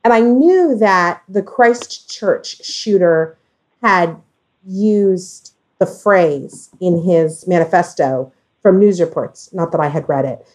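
A woman in a casual discussion, speaking haltingly as she thinks aloud and corrects herself. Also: lowish voice, darkish tone. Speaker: podcast discussion guest.

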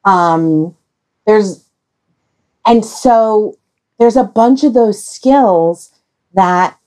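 A woman hosting a podcast discussion in casual talk, with emphatic, deliberate phrasing and pauses. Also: mid-range voice, dark tone.

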